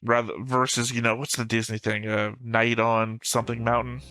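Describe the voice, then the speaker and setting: A man's voice: medium pitch, flat delivery, moderate pace, sharp tone, no echo, rough recording. A man giving casual, nerdy and opinionated reaction commentary in a wry way. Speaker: reaction video host.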